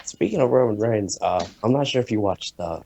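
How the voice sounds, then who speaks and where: A man's voice: on the low side, animated, fairly quick, gravelly, a little mellow, husky. A man calling in to a talk show, chatting casually and hesitating with many uhs. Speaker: caller. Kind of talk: casual chat on a call-in. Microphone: call over the internet.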